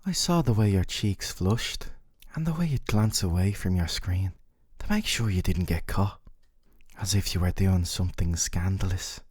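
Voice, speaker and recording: A man playing a gentle but possessive, smug dating-sim boyfriend in an ASMR roleplay, soft and coaxing, with articulate phrasing and teasing questions. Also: deep voice, moderate pace, slightly rough, no room sound, studio quality. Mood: adoring, smug. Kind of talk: scripted ASMR roleplay monologue to the listener.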